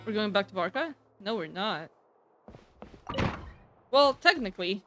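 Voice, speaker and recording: A woman streaming a game with casual live reaction commentary, slangy, sardonic and quick to interject. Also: mid-range voice, sing-song, music in the background.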